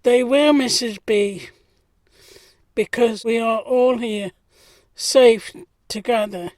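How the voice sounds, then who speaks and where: A man's voice: extremely high pitch, takes it slowly, a little mellow, dry recording. A man playing a mild-mannered gardener in a period audio drama. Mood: dutiful. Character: mild-mannered gentlemanly gardener, proud, simple, in 1919.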